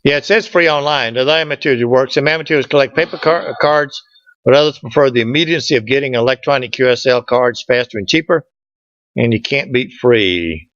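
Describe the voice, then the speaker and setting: A man presents slides in a casual, folksy way and jokes with the people listening. His voice sits at a middling pitch. The recording is dull and dry, with little echo. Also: brisk, fairly bright tone. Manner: folksy, joking with the audience. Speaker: club presenter. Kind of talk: informal slide presentation with audience back-and-forth. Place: meeting room, standing at a lectern indoors.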